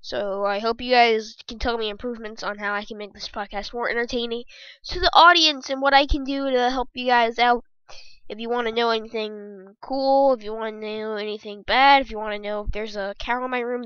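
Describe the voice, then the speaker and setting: Young host, squeaky and bright, chatty and self-correcting; dry close bedroom sound, slightly dull audio. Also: female voice, steady tone, fairly quick, clear, resonant tone, no room sound. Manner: rambling, jokey, self-correcting. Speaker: podcast host. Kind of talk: casual solo gaming podcast.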